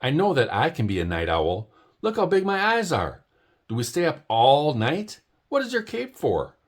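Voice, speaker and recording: A man voicing an eager, chatty young female bird in a storybook read-aloud, firing off excited questions. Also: a little high, moderate pace, pitched above the performer's own voice.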